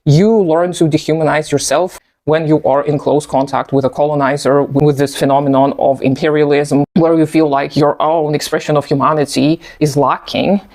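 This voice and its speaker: Male, 30s, native Russian speaker, thoughtful solo commentary, slightly raised pitch, unhurried. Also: level tone. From post-Soviet country. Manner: thoughtful, measured, occasional soft laughs. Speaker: video essayist. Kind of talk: reflective personal commentary.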